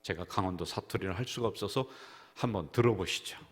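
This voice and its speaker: Low-voiced pastor preaching in an echoing sanctuary. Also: male voice, fairly quick. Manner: storytelling preacher who acts out quoted voices. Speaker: senior pastor. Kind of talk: sermon. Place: church sanctuary. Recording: reverberant church hall.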